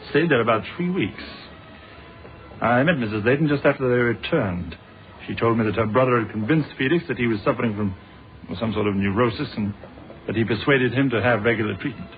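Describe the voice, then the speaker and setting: An actor playing a patient, courteous police inspector in a British radio drama, speaking in a measured, polite way with a British accent. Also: male voice, medium pitch, expressive, soft background music, lightly acted. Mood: reflective, recounting. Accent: British English. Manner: measured, polite, dryly unflappable. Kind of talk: old-fashioned British radio drama acting. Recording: narrow, phone-like old radio recording.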